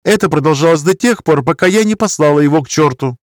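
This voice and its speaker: A man's voice: on the high side, lively intonation, fast, no echo, clean studio sound, pitched above the performer's own voice, natural voice. A man voicing an anxious, defensive woman of nearly thirty in an audiobook, pleading and justifying herself. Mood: bitter. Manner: pleading, self-justifying, wordy. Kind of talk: audiobook character dialogue.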